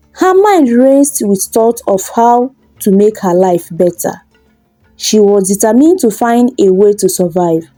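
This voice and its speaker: A woman narrates a folktale in a high, very animated voice. She reads slowly, like a storyteller, in a soft mellow tone over faint music. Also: no room sound, poor audio.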